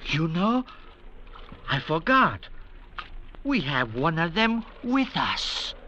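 An actor in an old radio drama playing a cowardly, fawning forger on the run, excitable, gushing and sly. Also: male voice, a little high, slow pace, exaggerated voice. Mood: mocking, gleeful. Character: giddy, fawning, cowardly forger and ladies' man, escaped convict. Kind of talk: 1940s-50s radio drama acting. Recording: dull old radio recording, faint hiss.

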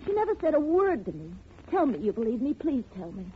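A woman's voice: high-pitched, big pitch swings, brisk. An actress playing a frightened, slangy, sassy young woman in a 1940s radio crime drama, with an emphatic, pleading way of talking. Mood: anxious, pleading. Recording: tinny, noisy old radio recording.

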